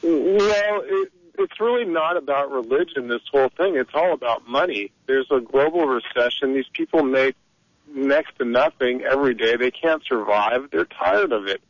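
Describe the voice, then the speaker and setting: A man's voice: medium pitch, lively intonation, moderate pace, dry recording. Heated male caller on a dull phone line. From Egypt. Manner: heated, rambling, opinionated. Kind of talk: call-in radio talk. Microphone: telephone.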